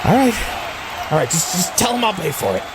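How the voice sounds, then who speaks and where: Man playing a high, animated, cocky wrestler. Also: brisk, a crowd in the background, noisy background. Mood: brash, insistent. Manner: brash, swearing, insistent. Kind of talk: audio drama character voice.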